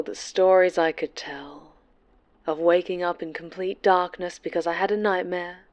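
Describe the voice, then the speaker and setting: An actress plays a possessive superhero villainess in an intimate horror ASMR roleplay. Her voice sits a little low and moves very slowly, sliding into breathy whispers. She taunts with a mock sweetness that stays menacing. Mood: brooding.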